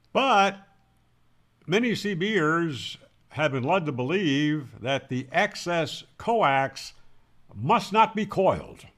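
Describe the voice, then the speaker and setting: A man's voice: fairly high pitch, fairly bright tone. A man giving an explanatory tutorial as a radio instructor, in an emphatic, didactic manner, posing questions and then answering them. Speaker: ham radio instructor and presenter.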